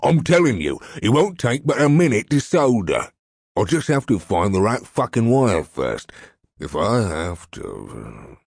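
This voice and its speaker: A man voicing an irritable, foul-mouthed crook with a gruff, gravelly voice and a snapping, impatient manner in an audiobook. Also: fairly low pitch, big pitch swings, a little slow, a little mellow, dry recording, clean studio sound, pitched above the performer's own voice, heavily acted. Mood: irritated.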